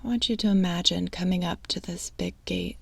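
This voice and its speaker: A woman leading a guided meditation in a hushed, breathy, unhurried delivery, leaving long pauses between soothing phrases. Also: fairly low pitch, steady tone.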